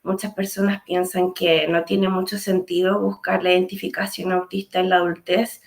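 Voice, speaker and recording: A woman in her late thirties with a Chilean accent, telling her personal story in a measured, reflective way with frequent hesitations. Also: on the low side, creaky. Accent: Chilean Spanish. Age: late 30s. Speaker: speaker sharing her story. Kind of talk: personal testimony talk.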